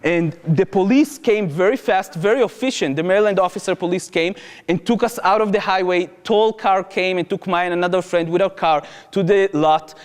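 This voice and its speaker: Higher-voiced Israeli man in echoing hall. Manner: earnest, impassioned, urgent appeals to the audience. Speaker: activist speaker. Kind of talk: public talk, personal storytelling. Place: lecture hall.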